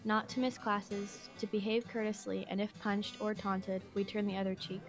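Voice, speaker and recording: A woman's voice: medium pitch, little pitch movement, moderate pace, faint music behind, natural voice. A woman acting a courteous, principled male student protester in an amateur radio drama.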